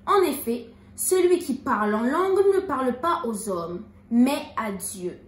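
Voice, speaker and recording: High-voiced woman in an echoey room. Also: very animated. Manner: measured, deliberate reading. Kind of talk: reading scripture aloud and casual chat. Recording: echoey room with faint background noise.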